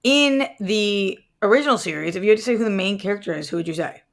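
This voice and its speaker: A woman's voice: mid-range voice, expressive, fast, vocal fry. Female podcast co-host in casual banter.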